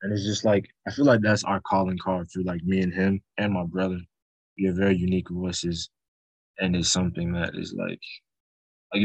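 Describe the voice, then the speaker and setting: A teenage boy with a very deep voice talks in a relaxed, rambling way during a casual group chat. His delivery stays low and fairly level, with little rise and fall. The sound is dry, with no room echo. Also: slightly rough. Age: teens. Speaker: student.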